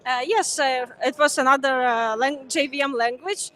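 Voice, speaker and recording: A young woman with a high voice speaks in a relaxed, hesitant way, often pausing on fillers. Her first language is Ukrainian, and she talks as an interview guest at a busy conference. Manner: hesitant, many uh fillers, laughs lightly.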